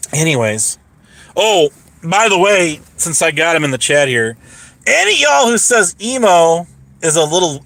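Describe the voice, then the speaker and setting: A hoarse man rambling casually on a livestream, boastful, repeating himself and laughing mid-sentence. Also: sits high, pushed and bright, no echo.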